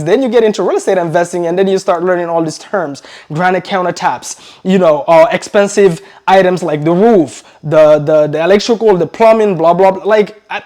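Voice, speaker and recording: Energetic man coaching in accented English. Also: high-pitched. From grew up in Belgium. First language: not English. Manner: energetic, addresses viewers as "guys", many fillers and repetitions. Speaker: real estate coach. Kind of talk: motivational talk to camera.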